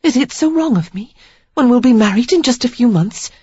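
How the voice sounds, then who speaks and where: Brief: woman reading passionate young heroine, husky and lively, pushes high when she argues. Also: a little fast, no room sound. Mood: pleading, passionate. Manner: pleading then fiery, high and emphatic. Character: ardent young woman, impulsive and defiant. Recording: slightly dull lo-fi recording.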